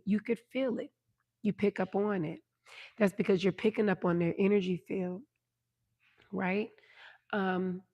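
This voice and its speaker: Hoarse woman giving a tarot reading. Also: lowish voice, darkish tone. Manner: soothing, repeats "right?" and "okay?". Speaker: tarot reader.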